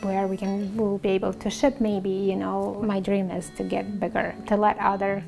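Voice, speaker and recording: A woman's voice: medium pitch, level tone, moderate pace, creaky, a little mellow, faint music behind. A woman who owns a bakery, speaking hopefully while searching for words. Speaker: bakery owner, interviewee.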